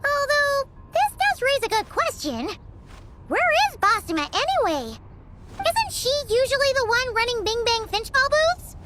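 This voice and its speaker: Squeaky, very high tiny fairy girl. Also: very animated, unhurried, very noisy, exaggerated voice. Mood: curious, cheery. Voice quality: squeaky, childlike. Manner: refers to self in third person, exclamatory. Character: tiny excitable childlike fairy girl companion, cheeky and huffy. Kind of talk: animated video game voice acting. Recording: loud music behind the voice.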